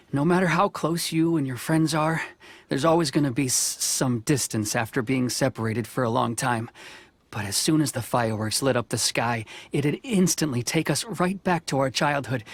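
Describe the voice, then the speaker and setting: An actor playing a modest, earnest middle-aged boatbuilder who speaks politely and reassuringly. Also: male voice, medium pitch, moderate pace, close to an everyday voice. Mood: wistful.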